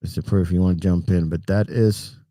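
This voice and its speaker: Deep, mellow Puerto Rican trucker. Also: male voice, fairly quick, no room sound, studio quality. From Puerto Rico. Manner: relaxed, rambling, greets chat by name, chuckles often. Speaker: trucker livestream host. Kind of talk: casual livestream chat with viewers.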